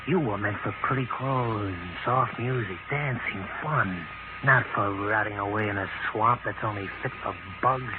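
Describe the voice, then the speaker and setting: A man's voice: lowish voice, moderate pace, natural voice. An actor playing a brash, slangy hired hand in a 1940s radio drama, cocky and blunt in his talk. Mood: pressing, insinuating. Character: brash, pushy, slangy young hired hand, male, scheming. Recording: noisy, tinny 1940s radio recording.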